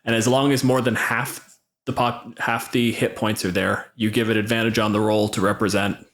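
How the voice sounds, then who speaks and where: A man's voice: lowish voice, level tone, normal speed, a bit bright. A man in a casual, opinionated tabletop gaming chat, explaining things emphatically and swearing freely. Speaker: podcast panelist and game master.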